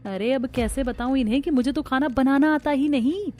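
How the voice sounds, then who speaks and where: Woman as sly young new bride, highish voice. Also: brisk, dark tone, close to an everyday voice. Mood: sly grumbling. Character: scheming young new bride, secretly lazy. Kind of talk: Hindi cartoon story dialogue. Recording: music behind the voice.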